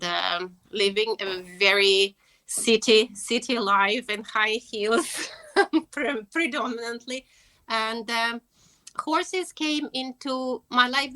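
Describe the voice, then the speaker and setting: A woman's voice: mid-range voice, bright, forceful tone. A woman from Russia, a guest on an online interview, speaking hesitantly with many fillers. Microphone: video call.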